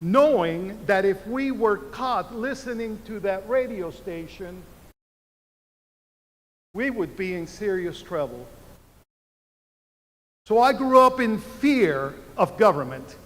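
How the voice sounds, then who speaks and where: A man in his seventies, born in Cuba, addresses graduates from a podium microphone. His voice sits fairly high for a man and moves at an unhurried, measured pace. The arena's long reverb trails after each phrase. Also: animated, fairly bright tone. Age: 70s. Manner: slow, deliberate oratory with emphatic pauses. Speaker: commencement speaker. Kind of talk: commencement speech. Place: large university arena.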